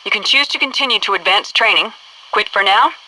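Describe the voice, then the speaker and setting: An actress voicing a stern, brisk military headquarters instructor in a video game, giving clipped, commanding tutorial orders over a noisy radio-like transmission. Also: female voice, mid-range voice, expressive, bright, forceful tone. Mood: brisk, instructive.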